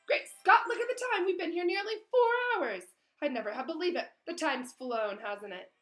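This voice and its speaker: A woman's voice: sits high, expressive, moderate pace, a bit bright, soft background music, voice pushed up. A woman voicing a vain, jovial male celebrity wizard professor in a storybook, gushing and pompous. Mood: surprised.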